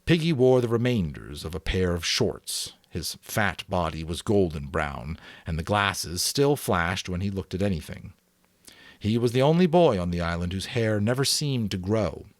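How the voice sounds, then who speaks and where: A man giving a dramatic audiobook reading, narrating in an expressive storytelling manner. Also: sits low, normal speed, no room sound, clean studio sound.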